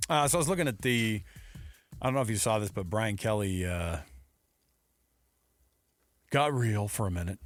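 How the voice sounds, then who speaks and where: A man's voice: fairly low pitch, lively intonation, moderate pace, pauses often, no echo, soft background music. A man co-hosting a sports radio show with casual, sarcastic banter and a joking manner. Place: radio studio.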